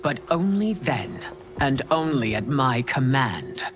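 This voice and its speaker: A woman playing a stern middle-aged empress and mother in a video game cutscene, speaking formally and with measured command. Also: very deep voice, sing-song, background noise, full character voice.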